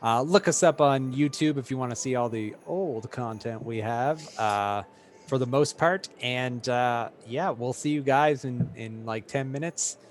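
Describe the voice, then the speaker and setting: A hoarse man narrating as game master of a tabletop game, halting with many fillers and restarts. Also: medium pitch.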